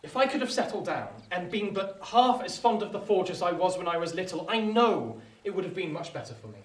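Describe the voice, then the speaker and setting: A lovesick, discontented young lad in a radio play. He speaks earnestly, swinging between petulant and wistful with big leaps in pitch. The sound is roomy and off the mic, with a faint hiss. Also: expressive, fairly quick, far from the mic. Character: discontented, lovesick working-class youth longing for gentility. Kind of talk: radio drama acting.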